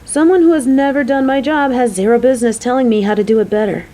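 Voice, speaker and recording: Mellow, caring woman coworker played by a voice actress. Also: fairly high pitch, fast, close to an everyday voice. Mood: grumbling. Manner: motherly, teasing, chatty. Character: caring, playful young woman coworker on a date. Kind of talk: audio roleplay acting addressed to the listener.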